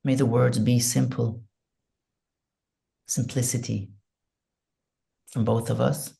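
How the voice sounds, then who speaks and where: Israeli man, second-language English, slow and gravelly, dark mellow tone, meditative interview guest. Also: medium pitch, little pitch movement. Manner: soft, hesitant, searching for words, meditative. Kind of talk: slow reflective interview talk with a spoken prayer.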